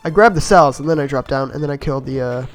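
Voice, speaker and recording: A man with a slightly raspy voice from being a bit sick, giving easygoing, rambling voice-over commentary on his own game run and laughing at his own mistakes. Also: medium pitch, fast, faint music behind. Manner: easygoing, rambling, self-mocking with chuckles. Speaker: gameplay commentator.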